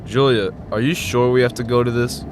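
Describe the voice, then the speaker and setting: A man's voice: medium pitch, fairly even intonation, a little fast, noisy background, lightly acted. A man acting in an audio drama as a reluctant, indignant young fiancé in his twenties. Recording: faint car ambience.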